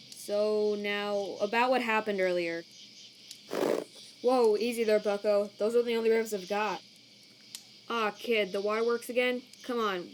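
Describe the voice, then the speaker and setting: A woman's voice: mid-range voice, a little hiss or hum, close to an everyday voice, rough recording. A laid-back male cartoon skeleton acted out by a woman talks in a middling register. He is slangy and protective, with a weariness that makes him halt over his words. Night insects chirp faintly behind him. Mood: gentle. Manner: casual, slangy, halting when troubled. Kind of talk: comic dub acting. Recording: cricket night ambience behind.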